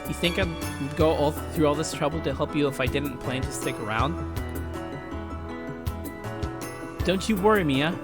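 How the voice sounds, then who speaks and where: A man playing an earnest, protective schoolboy in a visual novel, pleading and halting, with dramatic delivery. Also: fairly high pitch, music in the background, close to an everyday voice. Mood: reassuring.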